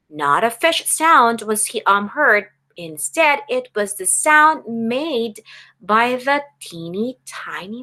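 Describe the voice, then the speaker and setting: A woman pre-K teacher doing an expressive read-aloud for young children, instructive and addressing them with questions. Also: medium pitch.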